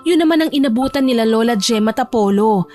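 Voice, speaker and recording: A woman's voice: a little high, expressive, fairly quick, warm tone, soft background music. A woman narrating a scripted romantic audio drama as a storyteller.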